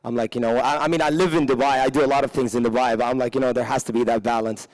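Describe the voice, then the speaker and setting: A man's voice: medium pitch, fairly even intonation, rapid-fire, poor audio. A man from the UAE, a DJ and former radio presenter, talking casually on a panel with many fillers. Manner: casual, lots of "you know" and "um". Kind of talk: panel self-introduction.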